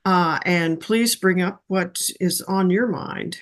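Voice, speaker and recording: A man's voice: high voice, slow, fairly bright tone. A man moderating a class discussion on a video call, conversational and enthusiastic, with fillers.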